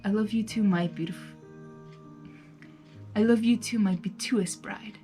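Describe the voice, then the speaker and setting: A woman voicing a tender male creature, newly wed, speaking softly and lovingly in a fan fiction reading, with music behind. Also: medium pitch, fairly even intonation, measured pace, lightly acted.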